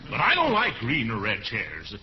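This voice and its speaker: Middle-aged blustery uncle, vintage radio comedy, jovial yet thrifty, very animated pitch, muffled old recording. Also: male voice, moderate pace. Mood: haggling. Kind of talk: 1940s radio comedy acting.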